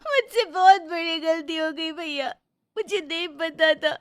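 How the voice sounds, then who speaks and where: A woman's voice: extremely high pitch, measured pace. A woman voicing a remorseful young college girl in a storybook drama, pleading between sobs. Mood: sobbing. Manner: pleading, sobbing.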